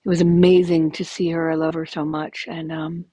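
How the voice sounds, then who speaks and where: A woman in her fifties speaks in a rough, gravelly, hoarse voice that sits a little low. She rambles candidly through a casual podcast update, dotted with ums. The sound is dry and close. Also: level tone, moderate pace, mellow, dry recording. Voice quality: raspy, gravelly voice. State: hoarse from illness. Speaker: podcast host.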